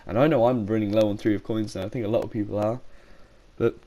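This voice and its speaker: A man with a sore throat rambles through a casual gaming video, stopping often to correct himself. His voice sits fairly low and has a dark, mellow tone. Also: fairly even intonation, fast, highs cut off. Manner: rambling, frequent self-corrections. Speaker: gaming YouTuber.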